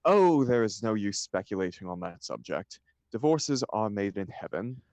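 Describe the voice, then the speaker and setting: A man's voice: lowish voice, big pitch swings, moderate pace, darkish tone, no echo. A man reading the part of a flippant, idle upper-class bachelor in a stage comedy, with an arch, mock-serious declamatory manner.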